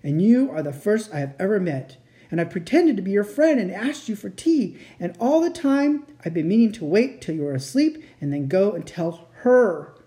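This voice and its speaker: A woman's voice: mid-range voice, big pitch swings, normal speed, dark tone, voice pushed up, lightly acted. A woman voicing a sorrowful, guilt-ridden male fawn in a melodramatic, tearful storybook reading. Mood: anguished confession. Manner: tearful, self-reproaching, melodramatic.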